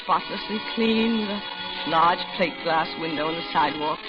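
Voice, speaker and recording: An American actress playing a lonely middle-aged widow, narrating confidingly and genteelly in an old-time radio suspense drama. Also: female voice, on the low side, normal speed, natural voice. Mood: wistful, confiding. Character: lonely older widowed office worker recounting her doom. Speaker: narrator and lead character. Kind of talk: 1940s-50s American radio suspense acting. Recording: dull old radio recording, loud music behind.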